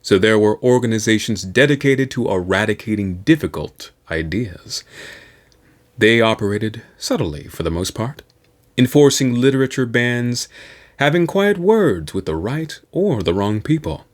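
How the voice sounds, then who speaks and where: Low-pitched, highly animated male narrator. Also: a little slow. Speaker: host reading an audiobook. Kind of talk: scripted narration of a science fiction novel.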